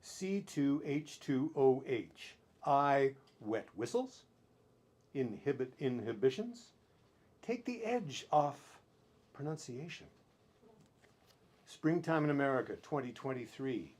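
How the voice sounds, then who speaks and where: A man performing spoken-word poetry as a poet, with deliberate, theatrical delivery in short phrases broken by pauses, on a live-stream recording. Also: mid-range voice, lively intonation, a little mellow. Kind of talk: dramatic spoken-word poetry reading, with brief chatty asides.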